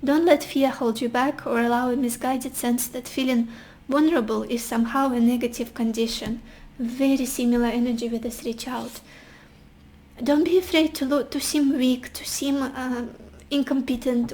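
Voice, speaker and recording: A woman giving a casual pick-a-card tarot reading while losing her voice, speaking gently and reassuringly straight to the viewer. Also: high voice, steady tone, normal speed, slight background noise. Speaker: tarot reader.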